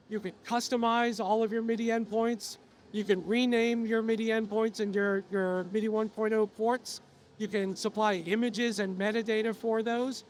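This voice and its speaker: A man losing his voice, presenting software features to an audience at a trade show, explaining steadily with frequent filler sounds. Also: high voice, a little hiss or hum. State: losing his voice, coughing now and then.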